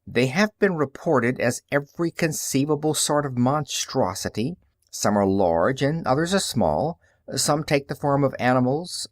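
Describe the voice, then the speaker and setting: A man's voice: mid-range voice, dry recording, studio quality, lightly acted. A man voicing a young male newspaperman in an audiobook, earnest, skeptical and matter-of-fact, explaining with emphasis.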